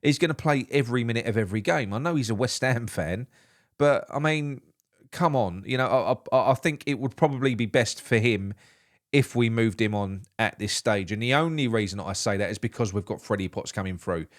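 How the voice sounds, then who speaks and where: A man's voice: medium pitch, a little fast. A man, nasal from a blocked nose, hosting a solo live football morning show in a rambling, opinionated way. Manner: opinionated, rambling with frequent restarts. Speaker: football podcast host.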